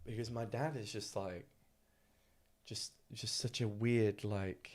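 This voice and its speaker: A British-accented man with a slightly low voice talks loosely on a podcast. He rambles, swears freely and laughs easily as he tells stories. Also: fairly even intonation, slow pace. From England. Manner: rambling, profane, laughs often. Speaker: podcast co-host, English teacher in Japan. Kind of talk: casual chat.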